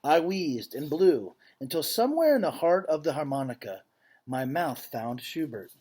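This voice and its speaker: A man reading a picture book aloud like a teacher to students, with measured phrasing and casual explanatory asides. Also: a little high, big pitch swings, darkish tone, slight background noise.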